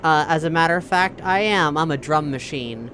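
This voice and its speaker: A woman's voice: sits low, normal speed, a bit bright, a little hiss or hum. A woman playing a tabletop role-playing game, talking casually and dryly, with some swearing. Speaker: player. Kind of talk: casual improvised tabletop role-play chat. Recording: music behind the voice. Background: music.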